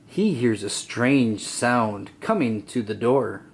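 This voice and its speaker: A storybook narrator reading aloud for children in an expressive, deliberate way. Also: mid-range voice.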